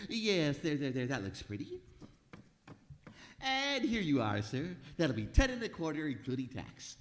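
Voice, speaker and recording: A man's voice: a little high, wildly swooping pitch, unhurried, pauses often, slight background noise. A man playing a nervous, exasperated department store clerk who stammers and mutters to himself in an old-time radio comedy. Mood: giddy relief. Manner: stammering, fretful, muttering self-pitying asides. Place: live performance in a museum hall. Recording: reverberant hall, live stage recording.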